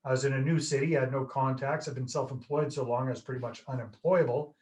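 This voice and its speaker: A man's voice: mid-range voice, fairly even intonation, fast talker, a little mellow. A Canadian man in his fifties, a guest telling stories in a casual, jokey, self-deprecating way over a video call. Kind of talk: casual interview chat.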